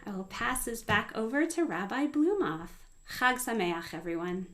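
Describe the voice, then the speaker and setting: A woman's voice: on the high side, animated, normal speed. A woman speaking as a singer, casually introducing a song for people to join in with at a community concert. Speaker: singer leading a community sing-along.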